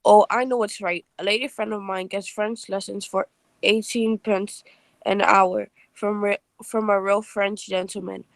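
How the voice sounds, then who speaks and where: A woman's voice: mid-range voice, fairly even intonation, unhurried, creaky, dry recording. A woman playing a poor young flower girl in a play reading, indignant and whiny, with colloquial protests. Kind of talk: reader's theatre play reading.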